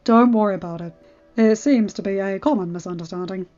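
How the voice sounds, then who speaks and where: A woman's voice: medium pitch, brisk, a little mellow, highs cut off, lightly acted. A woman voicing a cool, casual, reassuring man, a superhero mentor, in an audiobook story with an offhand confidence. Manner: laid-back, offhand, confident. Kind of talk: fan fiction audiobook character voice. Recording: band-limited audio with background music.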